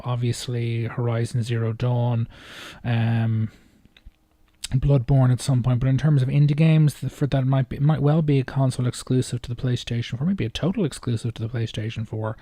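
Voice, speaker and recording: Mellow man, hesitant and rambling. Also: mid-range voice, level tone, dry recording. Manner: hesitant, rambling, halting phrasing. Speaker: video host reacting to game trailers. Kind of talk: casual off-the-cuff commentary.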